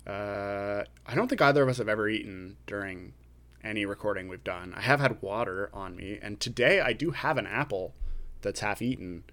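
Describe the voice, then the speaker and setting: Man, podcast co-host, slightly low voice, measured and deliberate, casual chat. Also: bright, forceful tone. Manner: slow, deliberate, pausing instead of fillers. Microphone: podcast microphone.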